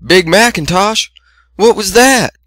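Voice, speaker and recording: A man's voice: high voice, takes it slowly, fairly bright tone, dry recording, studio quality, pitched above the performer's own voice. A man voicing a teasing, exasperated younger sister, a female farm pony with a folksy, chiding drawl, in a story reading.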